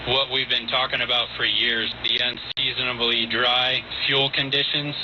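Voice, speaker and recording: Flat-toned male official on noisy radio. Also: mid-range voice, normal speed. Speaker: fire battalion chief. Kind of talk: factual official briefing soundbite. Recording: muffled, noisy radio soundbite.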